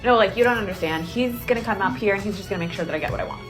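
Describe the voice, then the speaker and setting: A woman's voice: mid-range voice, racing, over music. A woman customer speaking indignantly and demanding a better deal at a counter.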